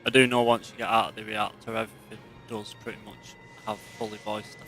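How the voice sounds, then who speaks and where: A man talks through a game in a casual let's play style. His pitch stays level and even, with little rise or fall. Faint music plays behind him as he explains things to viewers. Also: fairly quick. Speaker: gameplay commentator. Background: video game music.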